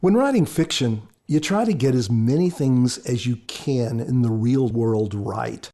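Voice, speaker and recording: A man's voice: mid-range voice, expressive, unhurried, mellow. A man speaking as an author on a podcast, giving scripted commentary.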